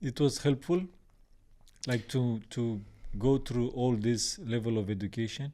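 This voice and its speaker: A man's voice: medium pitch, a little slow, warm tone. A man hosting a podcast, asking short, friendly questions in a casual style. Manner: friendly, brief prompting questions. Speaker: podcast host. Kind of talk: casual interview.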